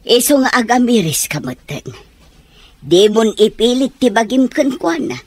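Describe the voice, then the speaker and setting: Consoling motherly Ilocano woman, breathy edge, earnest and gentle, for radio serial. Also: fairly high pitch, big pitch swings, unhurried, no room sound. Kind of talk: radio drama acting.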